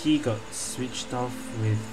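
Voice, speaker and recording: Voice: medium pitch, takes it slowly, loud music behind the voice, poor audio. A gaming streamer giving casual playthrough commentary, hesitant and thinking aloud.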